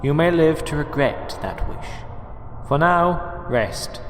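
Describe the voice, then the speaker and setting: An actor playing a calm, sinister male doctor in an audio drama, polite, condescending and quietly menacing toward his captive. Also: on the high side, takes it slowly. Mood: cold, threatening. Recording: echoey reverberant room with clear noise.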